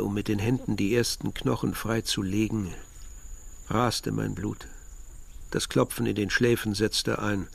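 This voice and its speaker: Brooding young narrator, bitter, husky, lowish pitch, measured literary radio drama delivery. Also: male voice. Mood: tense, haunted. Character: bitter, brooding young man narrating his brother's bone search.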